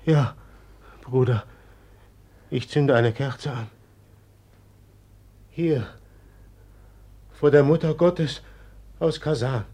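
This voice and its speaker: Husky, slow, haunted murderer. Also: male voice, mid-range voice, dark tone. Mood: anxious, tender. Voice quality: husky, breathy. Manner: halting, secretive, dazed. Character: haunted, brooding murderer, feverishly confiding in his friend. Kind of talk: German literary radio drama acting.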